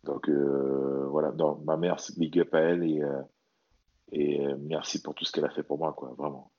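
A man's voice: a bass voice, flat delivery, normal speed, muffled recording. A man from French Guiana speaking casually and earnestly about himself as an interview guest, often repeating his words. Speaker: interview guest, athlete and bodybuilder. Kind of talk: casual personal testimony in conversation.

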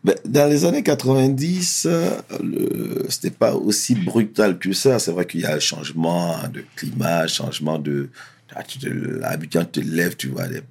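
A man who grew up in France, telling his life story in a relaxed, slangy, candid way as an interview guest. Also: sits low, moderate pace, vocal fry, husky.

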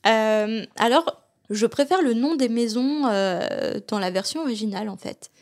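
A woman's voice: a little high, a little slow. A woman co-hosting a podcast in casual, playful conversation. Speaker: podcast host. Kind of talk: casual panel chat.

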